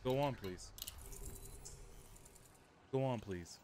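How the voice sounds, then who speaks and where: A man's voice: mid-range voice, very slow. A man streaming a game, speaking in short commands to a voice assistant. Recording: faint background noise.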